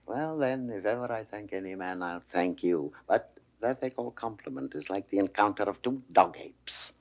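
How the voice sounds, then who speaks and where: A melancholy philosophical lord who is amused by his own scorn. His delivery is theatrical and drawling, with a very animated pitch, in the manner of 1960s classical Shakespeare audio drama. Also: moderate pace, muffled recording. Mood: mocking, sardonic.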